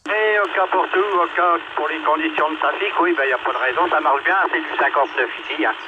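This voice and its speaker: A man's voice: fairly high pitch, quick pace, highs cut off. A man with a French accent chatting casually over a two-way radio, rambling and hesitating as he goes. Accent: French from France. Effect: two-way radio transmission. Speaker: radio operator calling in. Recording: very noisy two-way radio, engine noise.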